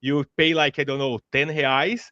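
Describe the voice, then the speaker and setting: Halting Brazilian man, muffled dry call. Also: a little high, flat delivery, bright, forceful tone, no echo. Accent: Brazilian Portuguese. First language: Portuguese. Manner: halting, many restarts, friendly. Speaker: podcast host. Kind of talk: casual interview hosting.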